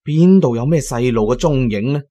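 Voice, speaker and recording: A man narrating an adventure audio drama in a colloquial, expressive first-person style. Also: medium pitch, moderate pace. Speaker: storyteller, narrator.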